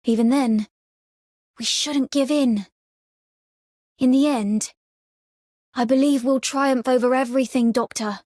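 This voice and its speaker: An actress voicing a resolute, gentle, hopeful female leader in a game, measured and earnest. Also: high voice, fairly even intonation, no room sound, clean studio sound. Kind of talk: scripted game character voice line.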